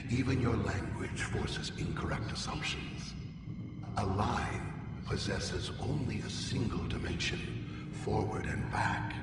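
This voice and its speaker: Slow, echoing otherworldly ancient being. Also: male voice, lowish voice. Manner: formal, cryptic, measured lecturing. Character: ancient male otherworldly being, lofty and patient explainer. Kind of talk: video game voice acting. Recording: very echoey hall, distant, loud music.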